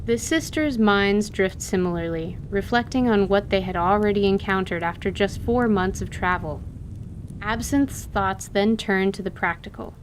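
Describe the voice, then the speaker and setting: Female narrator for fantasy radio theatre, medium pitch, scripted delivery, faint rumble in the background. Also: moderate pace, rough recording. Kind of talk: scripted story narration for an audio drama.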